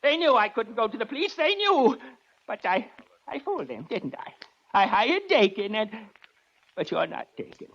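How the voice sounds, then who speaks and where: Frail old collector, creaky voice, querulous, paranoid, radio drama, dull vintage recording. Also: male voice, very high voice, big pitch swings, fairly bright tone, heavily acted. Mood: pleading. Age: elderly. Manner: querulous, halting, imperious then pleading. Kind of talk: 1940s radio drama acting.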